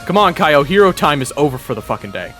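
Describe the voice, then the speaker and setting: A brash young male adventurer, as a man puts him on, tossing out curses and wisecracks in an audio roleplay. His voice sits somewhat high. Game music plays clearly beneath him. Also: big pitch swings, fast talker. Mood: wry. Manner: wisecracking, swears freely. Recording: loud game music underneath.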